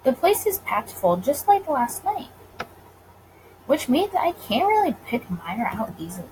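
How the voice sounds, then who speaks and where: A woman's voice: high voice, big pitch swings, a little slow, darkish tone, low-quality recording. A woman gaming creator chatting casually and reading visual novel narration aloud, recorded with faint noise behind her. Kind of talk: casual let's play with read-aloud narration.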